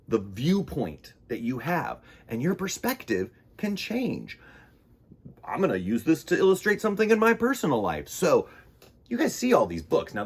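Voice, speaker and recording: A man hosting a children's storytime, reading and chatting in a theatrical, expressive way. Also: a little high, breathy edge. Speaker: children's storytime host and reader.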